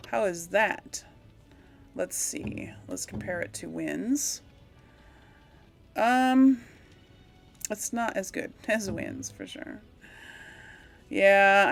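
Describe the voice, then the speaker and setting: Slow-paced woman thinking aloud while gaming. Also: on the low side, creaky. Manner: absent-minded, thinking aloud. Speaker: gamer hosting a role-play playthrough series. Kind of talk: casual rambling game commentary.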